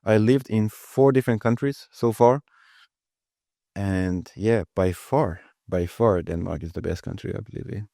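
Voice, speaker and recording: A man's voice: on the low side, unhurried, vocal fry, dark tone, no echo, studio quality. A man in his thirties whose first language is Persian, answering interview questions in a casual, earnest way and often restarting his phrases. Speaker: guest, IT lead.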